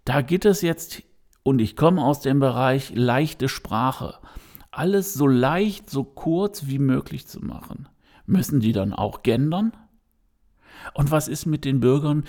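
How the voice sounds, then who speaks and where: A man with a gravelly, creaky voice at an ordinary pitch talks through his opinion to his listeners. He keeps pausing, restarting and filling gaps with small sounds. His tone stays earnest and direct throughout. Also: animated, a little mellow. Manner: hesitant, many fillers and restarts. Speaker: podcast host. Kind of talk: unscripted opinion monologue.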